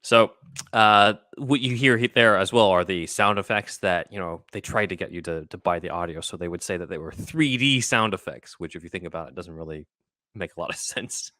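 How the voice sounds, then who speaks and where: A man's voice: sits low, fairly quick, pushed and bright, no room sound, clean studio sound. A man hosting a podcast, explaining things in a casual, chatty style. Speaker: podcast host.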